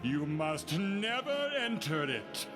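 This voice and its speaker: An actor playing a courtly, inviting Sultan with a veiled threat, stately, in a reverberant radio play over music. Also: male voice, on the high side, sing-song, takes it slowly.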